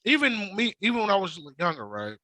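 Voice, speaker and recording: A man in his forties chatting casually on a reaction show, telling stories in a loose, profane, animated way. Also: high voice, brisk, no echo. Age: 40s. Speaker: co-host of a reaction channel.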